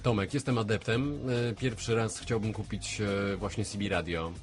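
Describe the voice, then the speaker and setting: Lower-pitched man hosting a radio interview. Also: normal speed, faint music behind. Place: radio studio.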